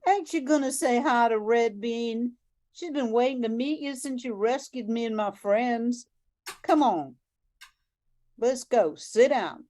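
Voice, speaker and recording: Feisty grandma played by a woman, mellow highish voice, bossy, folksy, kind-hearted, radio play. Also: a little slow, lightly acted. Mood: welcoming. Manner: folksy, scolding, coaxing. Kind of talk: amateur radio drama acting.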